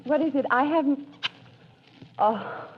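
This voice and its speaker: An actress playing a gentle, gracious schoolteacher in 1940s radio acting, polite and soft-spoken. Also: female voice, sits high, slow pace. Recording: dull vintage radio broadcast with hiss.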